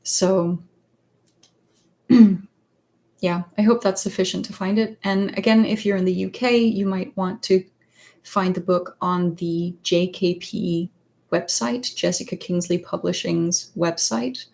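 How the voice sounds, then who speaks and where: A woman whose first language is Danish, chatting casually on a livestream as a psychologist and author. She pauses often and searches for words. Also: lowish voice, fairly even intonation, vocal fry, a little mellow. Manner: hesitant, many fillers and restarts, searches for words. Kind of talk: casual livestream chat answering viewer questions.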